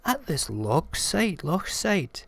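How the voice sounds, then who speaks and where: A man voicing a small, bold, boastful mouse in a storybook, with a Scottish accent and a cheeky, animated manner. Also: fairly high pitch, slow, creaky, breathy edge, dry recording, pitched above the performer's own voice, exaggerated voice. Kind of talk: storybook character reading.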